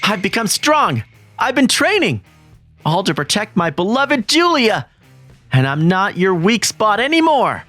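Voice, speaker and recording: A man's voice: high voice, very animated, a little slow, bright, forceful tone, soft background music, full character voice. Man voicing an earnest schoolboy narrator. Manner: earnest, eager. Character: earnest teen schoolboy, eager and loyal. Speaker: narrator and lead. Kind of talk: manga voice-over acting.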